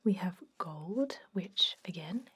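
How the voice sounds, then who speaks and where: A woman whispering an ASMR roleplay in a breathy voice, playing a calm, reassuring dentist who explains things gently. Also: lowish voice, slow pace. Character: calm, professional female dentist to vampires, reassuring.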